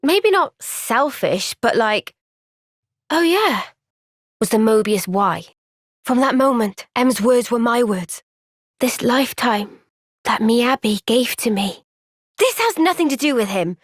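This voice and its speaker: A young woman voicing a gentle, caring female soldier in a video game, speaking softly and earnestly with occasional sighs. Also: fairly high pitch, expressive, slow, pauses often, no room sound, clean studio sound. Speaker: voice actor. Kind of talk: video game cutscene dialogue.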